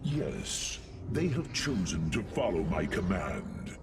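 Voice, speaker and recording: Booming, reverberant robot warrior. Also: male voice, huge pitch swings, gravelly, background noise, full character voice. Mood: solemn respect. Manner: measured, formal, respectful. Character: earnest robotic alien warrior, new to leadership. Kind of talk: cinematic video game acting. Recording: distant, long reverb, music behind.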